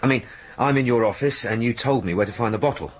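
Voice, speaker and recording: An actor playing a plain-spoken English police sergeant in a radio drama, deferential and doggedly sensible. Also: male voice, mid-range voice, fast talker, natural voice. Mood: earnest. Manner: deferential, down-to-earth. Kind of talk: BBC radio drama acting.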